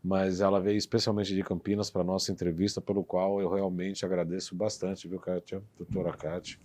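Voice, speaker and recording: A man's voice: sits low, level tone, fairly quick, darkish tone. Chatty Brazilian man hosting live TV. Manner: talkative, teasing, emphatic. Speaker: TV show host. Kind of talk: live TV interview. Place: TV studio.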